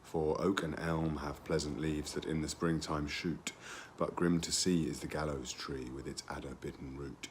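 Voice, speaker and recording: A man with an exceptionally deep voice recites poetry dramatically. There is faint noise behind him. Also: fairly even intonation, normal speed, hardly any pauses. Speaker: poetry reader.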